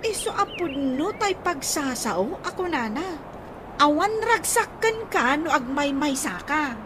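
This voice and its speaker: A woman plays an eager young student daughter with an Ilocano accent in a radio comedy drama. Her voice is very high and lively in pitch. Birds and outdoor noise sit behind her. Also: unhurried. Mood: earnest.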